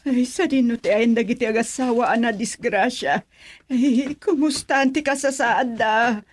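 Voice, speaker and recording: A woman's voice: on the high side, normal speed, natural voice. A woman with an Ilocano accent acting an anguished mother in a radio drama. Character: anguished mother in hospital melodrama.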